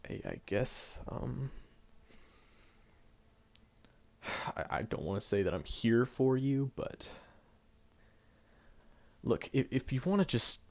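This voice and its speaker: A man's voice: medium pitch, creaky, darkish tone, exaggerated voice. A man voicing a prickly young male student who secretly cares, talking hesitantly with stammers and ums in an audio roleplay. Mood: gruff, reluctant. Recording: dull lo-fi recording.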